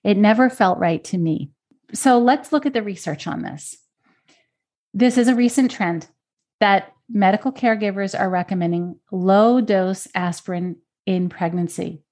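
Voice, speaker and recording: A woman's voice: medium pitch, normal speed, darkish tone. A woman hosting a podcast, explaining research and repeating key points for emphasis. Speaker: podcast host.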